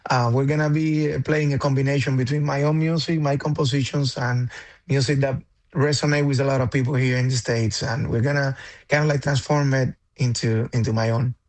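Hesitant man from Cuba, plain mid pitch. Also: little pitch movement, brisk, darkish tone. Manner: hesitant, many fillers and restarts. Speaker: guest musician. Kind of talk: radio interview.

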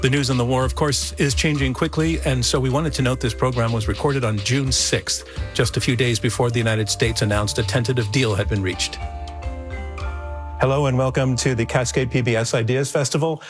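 A man's voice: mid-range voice, fairly even intonation, a little fast, soft background music. A man moderating a panel discussion, genial and easygoing, with small restarts and stumbles as he talks.

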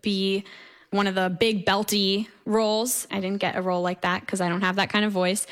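A teenage young woman from New York talks as a guest in a conversational interview. Her voice sits at an average pitch for a woman, and she sounds earnest and reflective. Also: level tone, normal speed. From Brooklyn, New York. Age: teenager, seventeen. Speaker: young classical soprano, guest.

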